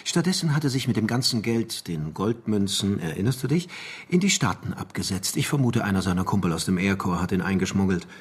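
An actor playing a sly intelligence schemer in a radio crime drama, insinuating and dryly mocking. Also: male voice, on the low side, sing-song, a little fast, husky. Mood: scheming, persuasive. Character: sly, smooth-talking male intelligence schemer.

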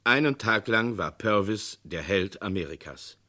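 Low-voiced man narrating an old crime play. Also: lively intonation, bright, forceful tone. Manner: measured, documentary tone. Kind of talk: scripted radio drama narration.

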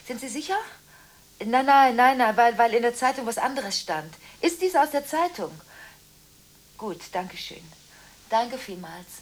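An actress in a radio drama playing a frantic, easily unsettled woman on telephone calls, speaking in halting, repetitive fragments. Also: on the high side, lively intonation. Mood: agitated, insistent. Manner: breathless, repeats words, broken halting phrases, swings between whispers and outbursts. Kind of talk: radio drama acting, one-sided telephone monologue. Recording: hissy studio radio drama recording.

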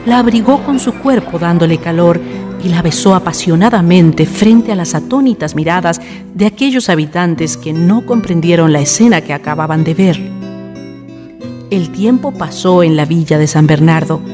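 A woman narrating a scripted radio drama in a literary storytelling style. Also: fairly low pitch. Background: guitar music.

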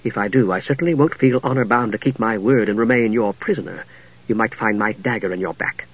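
A man voicing a young mage, quiet and bitter, with a cool, restrained delivery in a fantasy audiobook. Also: medium pitch, lively intonation, fairly quick, husky, no echo, highs cut off. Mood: cool threat.

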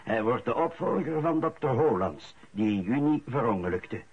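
Newspaper reader, muffled radio sound. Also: male voice, mid-range voice, lively intonation, moderate pace, husky. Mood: measured, matter-of-fact. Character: man reading the newspaper aloud at breakfast. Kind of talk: radio drama acting, reading aloud. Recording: dull old radio recording.

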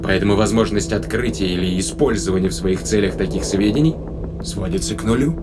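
A man playing a matter-of-fact human astronaut, explaining things in a measured way in a science fiction audio drama. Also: on the low side, very noisy, lightly acted. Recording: loud music behind.

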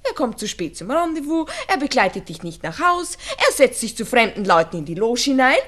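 A woman's voice: fairly high pitch, sing-song, brisk, a bit bright. An actress playing a worldly-wise, bossy young Viennese shop girl in a radio drama, chatty and teasing. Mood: scornful, lecturing. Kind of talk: 1960s German radio drama acting.

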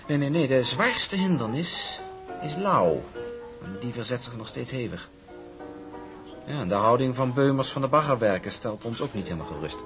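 Actor as a suave, animated scheming businessman. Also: mid-range voice, close to an everyday voice. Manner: urbane, ironic, formal. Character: suave, scheming shipping magnate's son, charming and smug. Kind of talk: radio drama acting.

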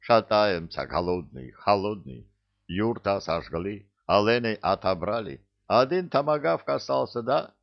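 Whiny georgian hustler spirit from a comic audiobook, rambles in broken grammar, swoops up and down, dull lo-fi sound. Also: male voice, on the low side, big pitch swings, takes it slowly, creaky, exaggerated voice. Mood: wheedling, plaintive. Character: hook-nosed Caucasian-style huckster spirit in Native costume, whining.

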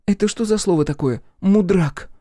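Gleeful centaur clown, voiced by a man; storybook fantasy, sly taunts, theatrical delivery, pitched high. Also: normal speed, warm tone, dry recording, clean studio sound. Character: cheerful mocking male centaur jester, clownish and gleeful.

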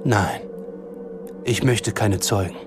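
An actor playing a gloating, sinister ruler of demons in a radio drama, speaking in a slow, grandiose, menacing manner. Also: male voice, on the low side, over music. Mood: gloating menace.

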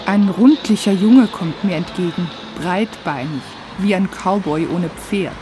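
A woman's voice: fairly low pitch, measured pace, noisy background. A woman reading literary first-person narration for a radio feature, recorded as a close voice-over. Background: music.

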